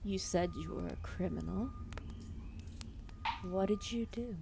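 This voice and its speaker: A woman playing a calm, solemn, ancient serpent deity in an ASMR roleplay, speaking softly and formally with deliberate pauses. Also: mid-range voice, background noise. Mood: calm, inquisitive. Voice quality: soft, breathy, often dropping into whispers. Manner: slow, deliberate, formal phrasing with long pauses.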